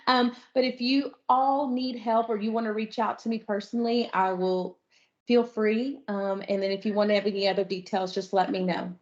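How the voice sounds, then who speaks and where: A woman speaks in a friendly, informal way, giving practical advice to an online audience. Her voice sits at a middle pitch. The sound is dull and lo-fi, as on a video call. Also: steady tone, normal speed. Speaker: panel speaker. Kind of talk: online lecture presentation. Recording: muffled lo-fi video call audio.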